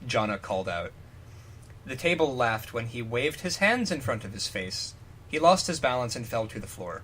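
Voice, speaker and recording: A man's voice: medium pitch, big pitch swings, moderate pace, fairly bright tone, slight background noise. A man reading fan fiction aloud in a mock-dramatic style, breaking into jokey, profane casual commentary.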